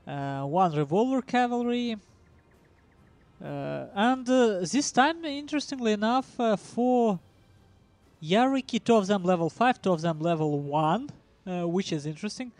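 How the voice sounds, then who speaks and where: A man's voice: sits high, lively intonation, a little mellow, dry recording. Russian-accented man casting a game match. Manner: halting, many ums and uhs, chuckles. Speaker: esports commentator. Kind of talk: casual game commentary.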